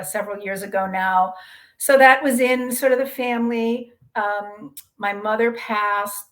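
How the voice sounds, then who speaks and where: A middle-aged American woman speaking as a podcast guest, conversational and reflective, with hesitant phrasing. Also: mid-range voice. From USA. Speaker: podcast guest, transformation mentor. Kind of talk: casual conversational interview.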